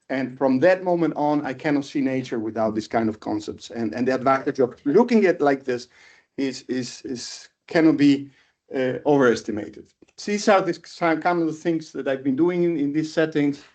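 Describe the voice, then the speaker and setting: A middle-aged man from Italy with an Italian accent gives an informal academic seminar talk as a professor, speaking haltingly and repeating words as he thinks. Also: fairly high pitch, darkish tone. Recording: dull, lo-fi lecture audio.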